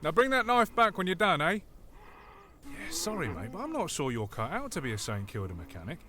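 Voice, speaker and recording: A cheerful but menacing mechanic in an audio drama. His voice bounces around a lot as he cracks dark jokes. There is faint noise in the background. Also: a little high, wildly swooping pitch, brisk, heavily acted. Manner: cheerful and sinister, joking. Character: menacing jokey male island mechanic.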